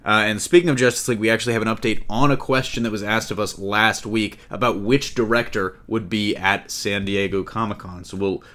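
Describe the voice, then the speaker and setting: A man with a fairly low voice hosts a casual entertainment podcast. He talks in a chatty, joking way, bantering as he moves through the items. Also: fairly even intonation, brisk, few pauses, fairly bright tone. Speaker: podcast host. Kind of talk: casual podcast chat about comic-book film news.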